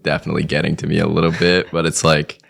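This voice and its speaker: A young man talks in a low, deep register as a podcast guest. His speech rambles loosely, full of filler words and restarts, in a relaxed conversational way. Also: fast talker, creaky. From Iran. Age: young adult. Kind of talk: casual podcast chat.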